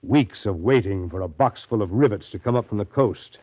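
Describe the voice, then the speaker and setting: An actor playing a riverboat captain recounting a grim journey in brooding, deliberate 1930s radio drama narration. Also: male voice, lowish voice, lightly acted. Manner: brooding, deliberate, literary storytelling. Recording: dull, lo-fi 1930s radio recording.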